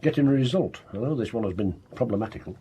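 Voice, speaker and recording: Mid-pitched, down-to-earth policeman. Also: expressive, fast talker, mellow, slightly rough. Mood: calm, supportive. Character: loyal, down-to-earth male police sergeant. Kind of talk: naturalistic radio drama acting.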